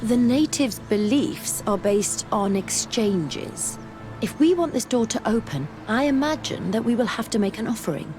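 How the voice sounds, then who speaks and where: A woman's voice: on the high side, lively intonation, normal speed, music in the background, natural voice. An actress playing a keen, curious young woman scholar in a fantasy video game, speaking earnestly and articulately. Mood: focused. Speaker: companion character. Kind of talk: fantasy game dialogue acting.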